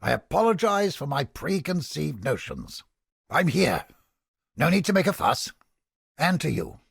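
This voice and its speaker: A man playing a haughty, creepy mind-reading interrogator in a video game, with a pompous, florid, theatrical delivery and a raspy, gravelly voice. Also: on the high side, big pitch swings, unhurried, no echo, studio quality, full character voice. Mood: dry condescension. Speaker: game character. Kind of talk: video game character voice lines.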